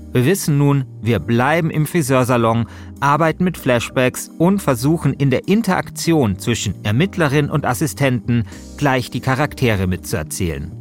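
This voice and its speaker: A man with a German accent, a podcast host, reading scripted narration. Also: mid-range voice. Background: soft guitar music.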